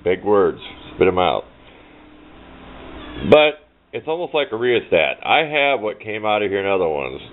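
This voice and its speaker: A man with a very low voice talks casually, as if thinking aloud while showing off a workbench project. His delivery is folksy and rambling. The recording is narrow and phone-like, with some noise behind him. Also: big pitch swings, a little slow, long pauses. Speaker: hobbyist presenting a radio project. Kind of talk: casual hands-on show-and-tell. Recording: muffled phone-like audio with clear background noise.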